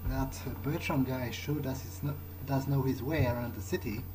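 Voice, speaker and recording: A man's voice: medium pitch, moderate pace, close to an everyday voice, poor audio. A man playing a cautious, wry vampire fledgling in an improvised video game playthrough, thinking aloud hesitantly. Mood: musing, hesitant. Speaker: gamer playing in character. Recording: game music under the voice.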